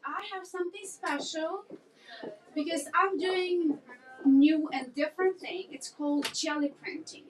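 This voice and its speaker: Woman, Ukrainian accent, high voice, unhurried delivery, recorded from a distance. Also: a little hiss or hum. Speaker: art teacher, business owner. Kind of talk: podcast guest interview.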